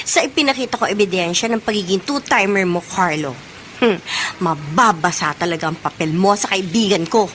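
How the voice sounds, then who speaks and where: A woman's voice: mid-range voice, moderate pace, fairly bright tone, natural voice. An actress playing a sly, meddling young woman in a Filipino radio drama. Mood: gloating. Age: young adult. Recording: noticeable ambient noise behind voice.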